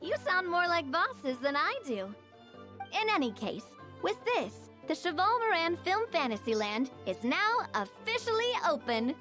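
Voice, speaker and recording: A woman's voice: very high voice, very animated, unhurried, fairly bright tone, heavily acted. A woman voicing a poised, cheerful young noblewoman in a video game, explaining things in a polished, articulate way. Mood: businesslike, upbeat. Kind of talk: video game story dialogue acting. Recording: studio voice over game music.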